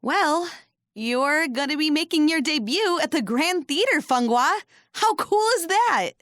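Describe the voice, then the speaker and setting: A woman plays a perky young female sidekick in an audio drama. Her fairly high voice swings up and down in a breezy, excitable way, recorded dry. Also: a little slow, no room sound. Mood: excited.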